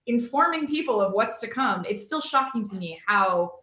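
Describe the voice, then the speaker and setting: A woman's voice: medium pitch, lively intonation, normal speed, bright, forceful tone. A woman moderating a casual panel discussion over a video call, thinking aloud in a hesitant way. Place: remote video call in an echoey room. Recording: roomy, echoey video call audio.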